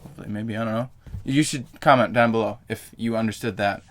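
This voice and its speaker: A man's voice: on the low side, steady tone, fast talker. A young man vlogging to camera in a casual, rambling way, full of fillers and self-corrections.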